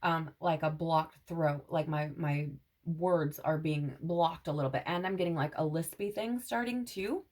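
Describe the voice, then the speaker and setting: A woman's voice: sits low, a little slow, a little mellow. A woman giving a casual spiritual tarot reading to viewers, meandering and repeating "right?" as she channels messages, her throat a little scratchy. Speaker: tarot reader.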